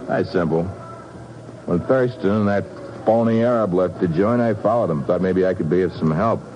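A man's voice: sits low, moderate pace, a little mellow, lightly acted. An actor playing a cocky, hard-boiled construction man in a 1950s radio drama, breezy and full of slang. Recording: muffled, noisy 1950s radio recording.

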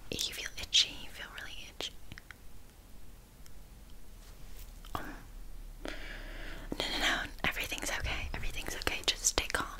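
A woman playing a flaky, scammy witch in an ASMR roleplay, rambling casually and haltingly in a soft whisper. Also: natural voice. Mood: uneasy, reassuring. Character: flaky, scammy young witch, blithely unbothered.

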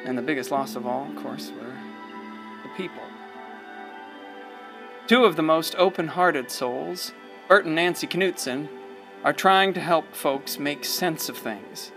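Radio play narrator: higher-pitched, folksy, wry small-town storyteller, theatrical and rambling, over prominent music. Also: male voice, pushed and bright. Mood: somber. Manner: chuckling, hesitant, theatrical.

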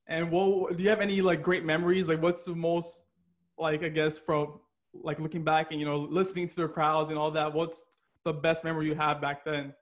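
American male interviewer, friendly chatter, voice a bit higher than average. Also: level tone, moderate pace. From United States. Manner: chatty, laughs often, lots of "like" fillers. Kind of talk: casual interview.

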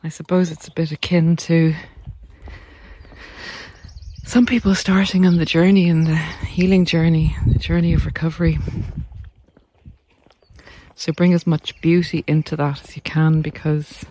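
A speaker talking gently and reassuringly in a reflective walk-and-talk vlog, with unhurried pauses. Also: medium pitch, flat delivery. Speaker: narcissistic abuse recovery coach. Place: outdoors on a country walk. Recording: outdoor noise, birdsong behind. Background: birdsong, outdoor noise.

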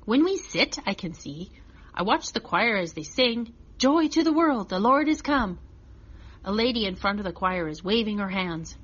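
A woman's voice: mid-range voice, moderate pace, slightly rough, lo-fi sound. Woman as a chatty, restless little boy. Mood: earnest, chatty. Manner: childlike, earnest, expressive. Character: chatty, restless six-year-old boy in church. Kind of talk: first-person children's story reading.

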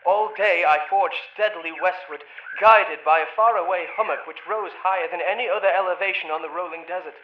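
A man's voice: sits high, a little fast, few pauses, natural voice. An actor playing an exhausted, haunted shipwrecked sailor in a radio drama, telling his tale in ornate, archaic language. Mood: grim, weary. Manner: ornate, archaic storytelling delivery. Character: shipwrecked sailor, sole survivor, exhausted and haunted, insisting on sanity. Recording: muffled, roomy, hissy radio transmission.

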